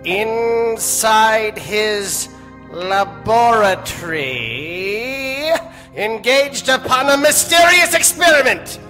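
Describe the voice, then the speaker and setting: Macabre horror host, ominous, very slow, theatrical, old-time radio, reverberant hall. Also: male voice, sits high, animated, bright, forceful tone, exaggerated voice. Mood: ominous storytelling. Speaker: host and storyteller of a horror serial. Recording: reverberant stage hall, music behind.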